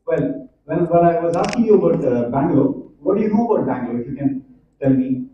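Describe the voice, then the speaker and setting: Indian guy talking to students in a big echoey hall, kinda high voice, far from the mic, muffled. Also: animated, brisk, a little hiss or hum. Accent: Indian English. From Hyderabad, India. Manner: chatty, questions the audience, many fillers. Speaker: conference speaker. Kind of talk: conference talk to students. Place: large echoey college hall. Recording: echoey hall, distant, dull.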